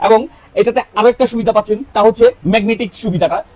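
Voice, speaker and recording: A man with a Bangladeshi accent presents a product in a casual sales pitch. His voice sits high for a man and he talks quickly. The sound is dry and close, with very little room. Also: steady tone, no room sound. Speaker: product presenter for an online shop.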